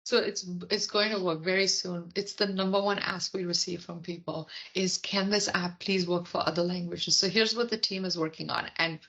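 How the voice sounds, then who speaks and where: A woman from India with a softened Indian English accent, a guest on a podcast interview, explaining at length and acting out quotes with animation. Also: lowish voice, normal speed, hardly any pauses, highs cut off. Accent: Indian English, softened toward American. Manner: animated storyteller, acts out quotes. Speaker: product leader guest.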